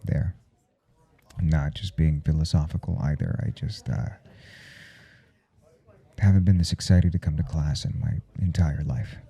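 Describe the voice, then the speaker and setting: Flustered smitten professor, asmr roleplay, really mellow and husky, stammers and gets coy. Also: male voice, steady tone, a little slow, long pauses, heavily acted. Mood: tender confessing. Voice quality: husky, dark low murmur. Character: nervous, smitten male professor confessing forbidden attraction. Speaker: audio roleplay performer. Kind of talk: intimate ASMR roleplay acting.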